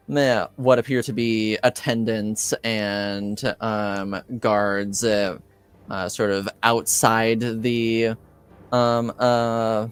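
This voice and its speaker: A man acting as game master, narrating a tabletop roleplaying game in a halting, deliberate way. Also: on the low side, clear, resonant tone.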